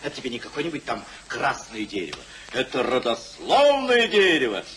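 An actor playing a merry, spendthrift young gentleman in a 1950s radio play, theatrical and exuberant. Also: medium pitch, huge pitch swings, brisk, far from the mic. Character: merry spendthrift young English gentleman host, boisterous and carefree. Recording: noisy, echoey 1950s radio play recording.

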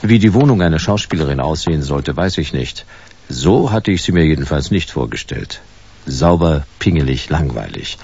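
Laconic hard-boiled detective, dulled sound. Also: male voice, rumbling low, vocal fry, slightly rough, dull audio, exaggerated voice. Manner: laconic, sardonic, deadpan. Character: dogged hard-boiled sci-fi private detective, wry narrator. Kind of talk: noir radio drama acting and narration.

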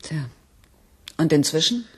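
An actress playing a level-headed but worried female project leader in a radio drama, husky-voiced, measured and firm. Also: sits low, animated, full character voice. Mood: grave. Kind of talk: German science fiction radio drama acting.

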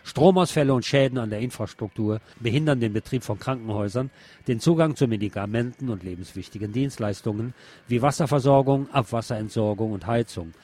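Man, news presenter, husky voice, mid-pitched, scripted commentary. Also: brisk, dark tone.

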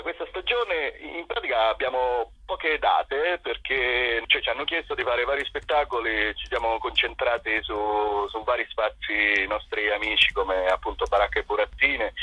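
A man chatting casually as an interview guest, a husky voice and a rambling, hesitant, jokey and self-deprecating manner. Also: on the low side, steady tone, measured pace. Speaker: interview guest, theatre company performer. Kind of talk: casual radio interview chat. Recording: dull, boxy, roomy phone-line sound.

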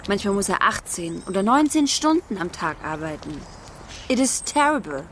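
A woman acting in a radio drama as a lively, outspoken young daughter, proud and teasing, who drops English phrases into her speech. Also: mid-range voice, normal speed, a little hiss or hum. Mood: earnest, proud.

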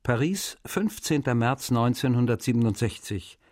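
A man's voice: medium pitch, expressive, moderate pace, a little mellow, dry recording, clean studio sound, lightly acted. An actor playing a friendly novelist, reading his letters to his translator in a radio documentary. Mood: friendly, wry.

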